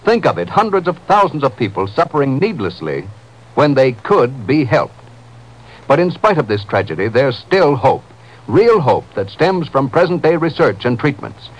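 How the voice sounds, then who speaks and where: A man's voice: mid-range voice, sing-song, normal speed, low-quality recording. A man reading a scripted 1950s radio charity appeal in an earnest, dramatic, emphatic manner.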